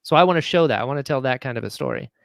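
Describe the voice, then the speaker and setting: A man's voice: medium pitch, lively intonation, racing, dry recording, clean studio sound. A man speaking as a podcast guest, telling anecdotes informally and acting out bits of dialogue. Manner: informal, acts out quoted dialogue. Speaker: podcast guest, audio drama writer. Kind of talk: casual chat, animated anecdotes.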